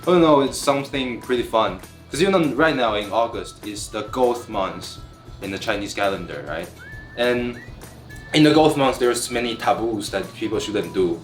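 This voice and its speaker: A man in his twenties from Taiwan, a student giving earnest, halting interview answers with some restarts. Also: fairly low pitch, expressive. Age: 20s. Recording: roomy echo with guitar music.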